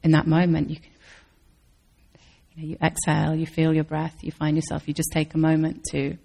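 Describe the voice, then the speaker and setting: A woman's voice: low voice, monotone, fairly quick, creaky. A woman Zen teacher answering audience questions in a thoughtful, searching way. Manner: thoughtful, searching, with restarts and soft laughs. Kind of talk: dharma talk Q&A answers.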